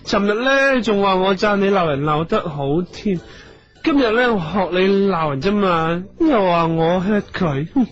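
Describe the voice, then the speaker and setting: A man's voice: high voice, animated, normal speed, fairly bright tone, highs cut off. An actor playing a fussy, effeminate, clingy male talent manager in a comedic radio drama, with a Hong Kong Cantonese accent and a whiny, auntie-like manner. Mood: huffy, petulant. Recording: music behind the voice.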